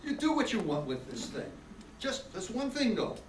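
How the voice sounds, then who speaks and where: A man's voice: high-pitched, sing-song, moderate pace. An older man playing an earnest young small-town man in an amateur radio play, folksy and emphatic. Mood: indignant. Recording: echoey room, distant mic, faint noise.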